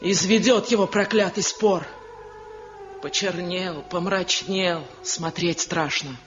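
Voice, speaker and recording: A woman's voice: lowish voice, fairly even intonation, takes it slowly, music in the background. On a dull vintage radio play recording, an older village matriarch speaks in bitter reproach. She nags and scolds her household with an aggrieved air. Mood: bitter, reproachful. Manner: reproachful, nagging. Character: bitter, scolding old village mother-in-law. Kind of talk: 1970 radio drama acting.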